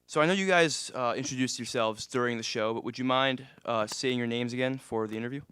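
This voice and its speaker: A man's voice: mid-range voice, fairly quick, a bit bright, clean tone, no room sound. A man in his twenties hosting a live podcast interview at a music venue, laid-back with frequent fillers. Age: 20s. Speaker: podcast host.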